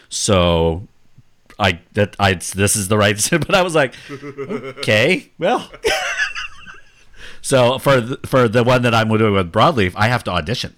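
A man's voice: mid-range voice, fairly bright tone. A man hosting a casual podcast chat over a video call, laughing at himself and restarting his sentences. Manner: laughing, self-deprecating, restarts often. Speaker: podcast host.

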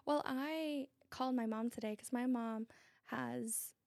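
A woman hosting a casual podcast chat, animated and quick to laugh, her voice raspy from smoky air, recorded in a podcast studio. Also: fairly high pitch, dry recording. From Mexico. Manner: giggly, animated, laughs often. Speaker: podcast host.

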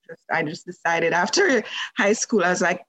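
A woman's voice: lowish voice, normal speed, fairly bright tone, dry recording. A woman from Jamaica, a holistic nutrition practitioner, telling her story as a podcast guest in a conversational way, with many fillers. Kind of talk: casual interview storytelling.

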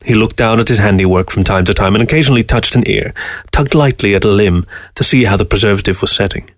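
A man narrating a scripted short story in a measured, literary delivery. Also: lowish voice, no room sound, studio quality. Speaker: story reader.

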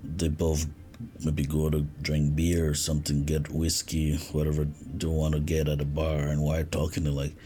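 A man from Africa, hosting a podcast in a casual, reflective monologue with frequent pauses and restarts. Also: a bass voice, completely flat delivery, dark tone, soft background music. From West Africa. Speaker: podcast host.

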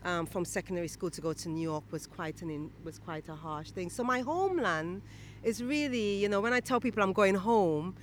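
Woman poet, reflective, halting, outdoors with faint traffic behind. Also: mid-range voice, animated. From Guyana. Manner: thoughtful, halting, many restarts and fillers. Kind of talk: reflective interview answers. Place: outdoors near a city church.

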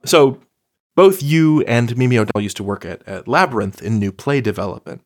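Lively male interviewer with swooping pitch. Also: fairly quick. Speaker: podcast host, interviewer. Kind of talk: conversational interview.

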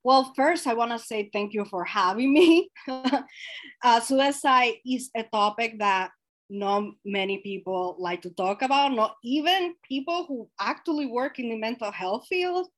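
A woman gives an earnest presentation over a video call. Her voice sits a little high, and her delivery is animated and sincere, with fillers and soft laughs. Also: a little slow. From Dominican Republic. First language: Spanish. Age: late 20s. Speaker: guest speaker.

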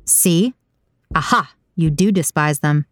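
A woman voicing a cynical, brooding, stubborn man in an audiobook, blunt and insistent in a low-key way. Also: slow. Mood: coaxing. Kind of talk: audiobook character dialogue.

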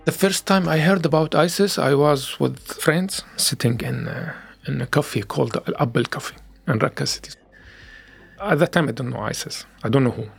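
Gravelly man with a Syrian Arabic accent. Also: medium pitch. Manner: halting second-language English with restarts. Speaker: interviewee, former activist. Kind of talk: testimony in an interview.

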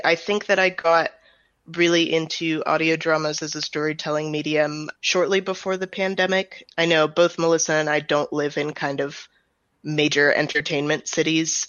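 A woman's voice: sits low, fairly bright tone, no echo, muffled recording. An American woman giving casual, explanatory answers as an interview guest. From United States. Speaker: audio drama writer and director, interview guest.

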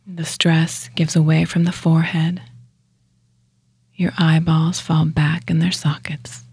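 Woman, yoga nidra teacher, very breathy near-whisper, gravelly fry, hushed delivery, short phrases with pauses. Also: low-pitched, flat delivery, no room sound. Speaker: guided meditation teacher and show host. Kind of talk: guided yoga nidra meditation.

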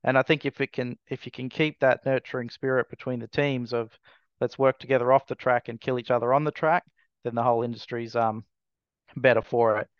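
A man in his thirties gives interview answers with many pauses and restarts. His voice is smooth and even, with little rise or fall. The sound is dry and a bit dull. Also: medium pitch, no echo. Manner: hesitant, many restarts and fillers. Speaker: racing team owner.

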